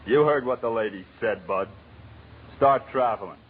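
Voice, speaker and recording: A man's voice: mid-range voice, normal speed, slightly rough, natural voice. An actor playing a protective, kindly but tough man in an old-time radio crime drama, talking firmly and informally. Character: protective, plain-spoken male friend, tough but kindly. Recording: muffled, tinny vintage radio recording.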